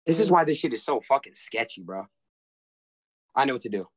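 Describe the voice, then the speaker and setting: A man's voice: mid-range voice, sing-song, fairly quick, a little hiss or hum. Restless young man livestreaming from his bedroom. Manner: restless, swearing, talking to chat. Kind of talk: casual livestream chat. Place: bedroom in a house.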